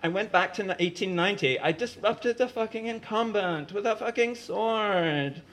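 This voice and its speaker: A frazzled young woman time traveler, put on by a man reading the story, whining in high, pushed-up tones. She sounds worn out and fed up, and her complaints rise into dramatic outbursts. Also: expressive, normal speed, natural voice. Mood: exasperated. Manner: whiny, exasperated outbursts.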